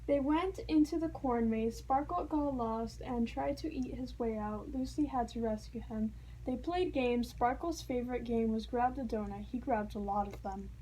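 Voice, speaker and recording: A woman narrating a children's storybook aloud in an expressive, playful storytelling manner. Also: a little high, moderate pace, slight background noise, poor audio. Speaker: storybook reader.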